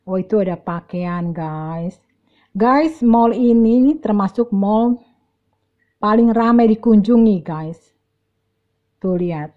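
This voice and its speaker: A woman's voice: mid-range voice, takes it slowly, pauses often, narrow, tinny audio. A middle-aged woman giving a chatty, casual walk-and-talk shopping vlog, addressing viewers directly and often repeating words. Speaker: vlogger. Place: large indoor shopping mall.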